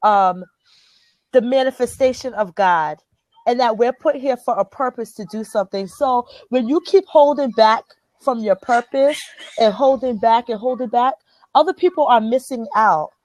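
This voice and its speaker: A woman with a Liberian accent and a somewhat high voice hosts a motivational group chat. She talks earnestly, stressing and repeating her key phrases. The sound is close and dry. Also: moderate pace, darkish tone, dry recording. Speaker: host.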